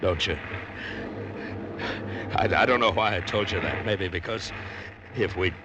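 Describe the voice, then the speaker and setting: A man's voice: sits low, unhurried, muffled recording, background noise. A man narrating and acting in an old radio drama, in a grim, weary first-person manner. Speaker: narrator and lead character. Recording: old radio recording with music beneath.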